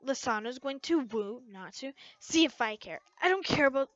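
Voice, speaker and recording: A woman giving an expressive amateur narration of fan fiction, stumbling over words and rereading as she goes. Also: high-pitched, normal speed, no room sound, lo-fi sound.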